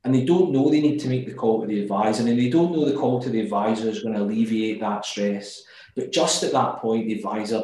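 A man's voice: mid-range voice, dark tone. A man with a Glasgow accent, speaking as an interview guest, thoughtful and often restarting his words. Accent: Glaswegian. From Scotland. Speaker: guest, company executive. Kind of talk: remote interview. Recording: very echoey room.

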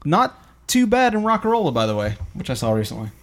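Animated, higher-pitched man. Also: fast talker. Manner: joking, profane, opinionated. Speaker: podcast host leading a film discussion. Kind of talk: casual movie banter.

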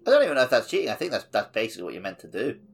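A man's voice: mid-range voice, wildly swooping pitch, racing, vocal fry, over music. A man co-hosting a casual video game podcast, chatting over an internet voice call. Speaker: podcast co-host.

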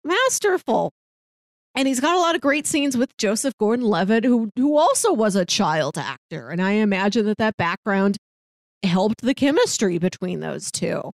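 A woman's voice: medium pitch, sing-song, moderate pace, no echo, clean studio sound. A woman co-hosting a casual film discussion, chatty and often laughing as she talks. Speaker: podcast co-host.

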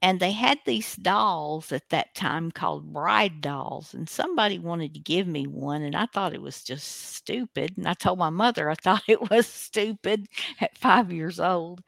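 A woman's voice: fairly low pitch, creaky, fairly bright tone, no echo, clean studio sound. A woman hosting a radio show, chatting casually in a folksy, rambling way as she introduces the program.

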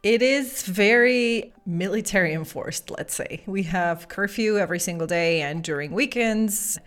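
A woman's voice: medium pitch, unhurried, a bit bright, faint music behind. A woman from Belgium co-hosting a podcast over a call, chatting casually and laughing now and then. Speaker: co-host and coffee judge. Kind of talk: casual chat. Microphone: video call from a home office.

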